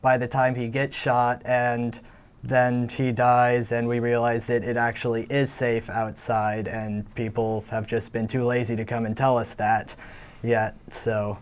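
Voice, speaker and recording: A man's voice: medium pitch, flat delivery, unhurried, clean tone. A young man, a student actor, chatting casually in a studio interview. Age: teens to young adult.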